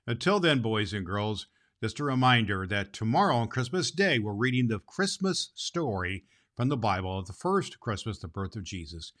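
A man's voice: fairly low pitch, animated, normal speed, fairly bright tone. A man playing a jolly, grandfatherly old Santa Claus, speaking theatrically and emphatically to children as a storyteller. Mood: cheerful, friendly. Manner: theatrical, emphatic, addresses listeners as boys and girls. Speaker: storyteller and podcast host. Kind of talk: dramatic storybook reading and direct address to children.

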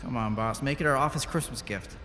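A man playing an excitable, persuasive explorer scientist in an audio drama, informal and animated. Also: mid-range voice, brisk, slight background noise, close to an everyday voice. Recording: long reverb, music behind. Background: music.

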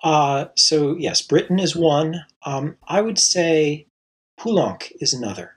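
Guy composer talking it through, super breathy husky voice, lots of ums. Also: fairly high pitch, animated, a bit bright. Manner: thoughtful, halting, many fillers and restarts. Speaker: composer guest. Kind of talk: reflective interview answers.

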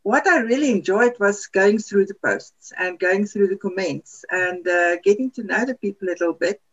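A woman's voice: mid-range voice, normal speed. Woman with a lo-fi sound. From South Africa. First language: Afrikaans. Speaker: podcast panelist. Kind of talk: casual chat.

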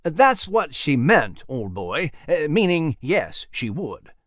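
A man voicing a pompous, jaunty male animal gentleman in a storybook, wordy and quick to quip. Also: fairly high pitch, big pitch swings, no room sound, studio quality, full character voice. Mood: jaunty, quipping. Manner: posh, wordy, quipping.

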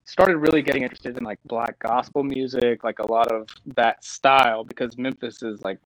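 A young man from Tennessee, a lead singer telling stories in a casual interview, animated and laughing often. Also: medium pitch, normal speed, low-quality recording.

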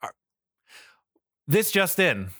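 Male podcast host, casual and informal, bright forceful delivery, dry close sound. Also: sits high, sing-song, slow pace, no echo. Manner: informal, frequently swearing.

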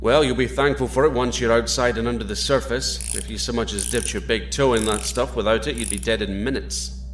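An actor playing a steady, easygoing astronaut captain with a Scottish accent, wry and reassuring in a sci-fi audio drama. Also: male voice, mid-range voice, brisk, a little hiss or hum, close to an everyday voice. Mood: wry, relaxed.

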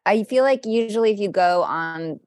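A woman's voice: mid-range voice, animated, normal speed, darkish tone, no echo, clean studio sound. A woman co-hosting a podcast in a casual, conversational style. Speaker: podcast co-host. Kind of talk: casual chat between co-hosts, some reading aloud.

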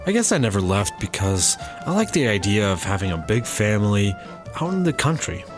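A man's voice: on the low side, big pitch swings, brisk, music in the background. A man playing a nervous, polite, naive guy in a comedy audio drama, hesitant and apologetic. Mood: awkward, polite.

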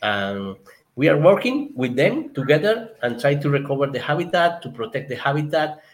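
A man's voice: fairly high pitch, very animated, normal speed. A man from Colombia with a Colombian Spanish accent, answering as a guest in an interview, often stopping and restarting as he searches for words. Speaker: guest, conservation project member.

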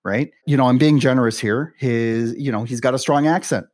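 A man's voice: mid-range voice, a little fast, a little mellow, no echo, studio quality. A man in his forties hosting an interview podcast, talking in a chatty, conversational way and leaning on "you know" and "right.". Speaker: podcast host.

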